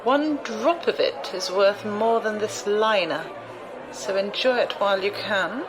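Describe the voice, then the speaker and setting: A woman's voice: medium pitch, measured pace, crowd noise, close to an everyday voice. A woman playing an imperious, shrewd, wealthy older noblewoman in an audio drama, commanding and condescending. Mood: amused, superior.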